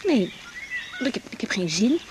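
Young female doctor. Radio drama: tired, hesitant, dry, lively intonation, noticeable noise behind. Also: fairly high pitch, normal speed. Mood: reluctant. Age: young adult. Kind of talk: 1980s Dutch radio drama acting.

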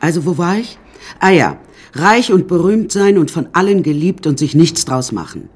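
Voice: mid-range voice, animated, moderate pace, breathy edge. An actor playing an imperious, eccentric older woman painter in a radio drama, haughty and theatrical, addressing the listeners directly. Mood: haughty, complaining.

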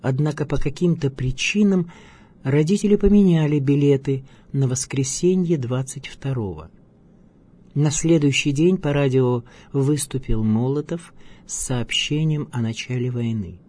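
A man's voice: medium pitch, unhurried, mellow. A man reading a scripted biographical narration as the presenter of a radio programme.

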